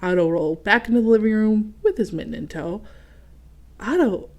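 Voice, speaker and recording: A woman reading a picture book aloud to children, expressive and often turning to speak directly to the young listeners with questions and explanations. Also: medium pitch, fast. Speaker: read-aloud host for children.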